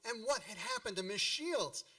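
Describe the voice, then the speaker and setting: A man's voice: extremely high pitch, wildly swooping pitch, normal speed, sharp tone, far from the mic, heavily acted. An actor narrating a radio play as a wry grown man recalling boyhood with mock-grand melodrama. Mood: dismayed.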